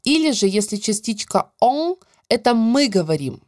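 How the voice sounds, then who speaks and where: A woman teaching a French grammar lesson, explaining clearly and stretching out the example words. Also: a little high, very animated, moderate pace, darkish tone, no echo, studio quality. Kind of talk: scripted grammar lesson narration.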